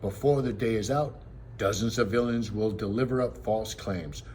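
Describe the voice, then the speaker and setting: A man's voice: fairly low pitch, moderate pace, darkish tone, a little hiss or hum, natural voice. A man voicing a clever boy who poses as a haughty, impatient prince in a storybook, princely and scornful.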